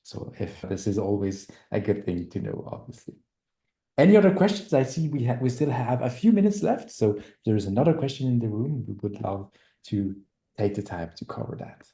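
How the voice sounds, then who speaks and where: Dark-voiced host in an echoey room. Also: male voice, lowish voice, sing-song, brisk. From Switzerland. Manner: friendly, inviting questions. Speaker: webinar host. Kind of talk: moderating Q&A. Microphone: video call. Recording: roomy echo.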